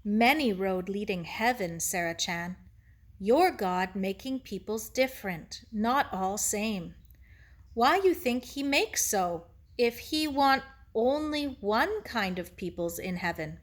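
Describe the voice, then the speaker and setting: A woman reader gives us an elderly Japanese man from a children's novel, gentle and wise. He talks slowly in short, broken English phrases, measured and philosophical. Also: mid-range voice, lively intonation. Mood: calm, reflective. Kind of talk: children's novel read aloud.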